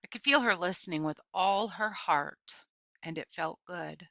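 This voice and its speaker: A middle-aged woman reading a children's novel aloud as expressive storytelling, with deliberate, emphatic phrasing. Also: fairly low pitch, vocal fry, no echo, muffled recording. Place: small indoor room with bookshelves.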